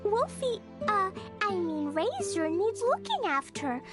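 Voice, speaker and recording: A woman voicing a sweet, excitable little girl in a video game, with a childlike, earnest manner. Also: extremely high pitch, takes it slowly, loud music behind the voice, full character voice. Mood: excited.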